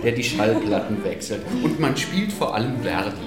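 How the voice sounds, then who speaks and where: A man's voice: lowish voice, lively intonation, moderate pace, roomy sound, over loud music, lightly acted. A man playing an amused, mocking aristocratic party guest in a radio drama. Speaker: actor in a radio play. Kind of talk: German radio drama acting.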